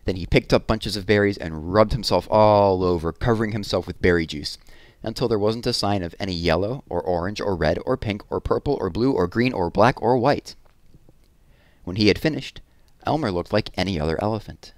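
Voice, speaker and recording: A man in his thirties reading a children's story aloud expressively, with deliberate pauses and drawn-out words, on a headset mic. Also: fairly low pitch, dry recording, studio quality. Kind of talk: children's read-aloud narration. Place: small room at home, indoors.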